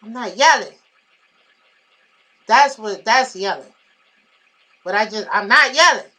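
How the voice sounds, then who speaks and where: Loud lady vlogger venting, high bright voice, blunt and emphatic, telling her story. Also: takes it slowly. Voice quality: naturally loud voice. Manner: blunt, emphatic, animated retelling with repetitions.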